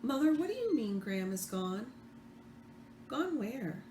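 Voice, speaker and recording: A woman's voice: on the high side, big pitch swings, a little slow, mellow, pitched above the performer's own voice. A woman voicing an anxious young adult daughter in a novel, worried and questioning. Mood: alarmed, pleading. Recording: roomy echo, faint background noise.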